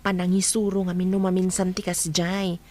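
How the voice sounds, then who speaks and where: A woman's voice: on the low side, completely flat delivery, normal speed, a little mellow, close to an everyday voice. A woman playing a kind, reasonable adult woman in a radio drama, polite and explanatory. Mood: reasoning.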